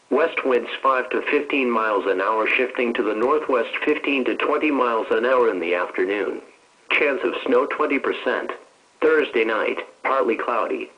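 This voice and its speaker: Male text-to-speech weather announcer, mid-pitched, mechanical and formal scripted read, muffled lo-fi radio transmission. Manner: formal, mechanical, stilted phrasing. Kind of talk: scripted weather forecast reading. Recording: dull band-limited weather radio broadcast.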